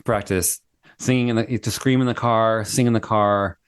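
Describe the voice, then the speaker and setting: A man's voice: lowish voice, steady tone, normal speed, clear, resonant tone, no echo, clean studio sound. A man, a singer-songwriter, chatting as a guest in an upbeat, enthusiastic way over a video call. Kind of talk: casual interview chat.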